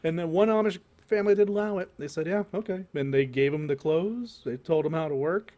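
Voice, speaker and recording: A man's voice: on the high side, brisk, mellow. Casual man chatting on a podcast. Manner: informal, swearing. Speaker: podcast co-host. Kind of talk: casual group chat.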